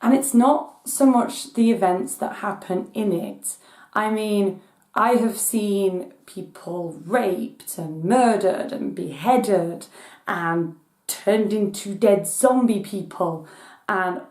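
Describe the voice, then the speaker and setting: A woman hosting her own channel, hoarse from losing her voice, ranting in exasperation as her voice cracks high now and then. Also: slow pace, a little mellow, echoey room. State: hoarse from voice loss. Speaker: channel host. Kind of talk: casual rant vlog.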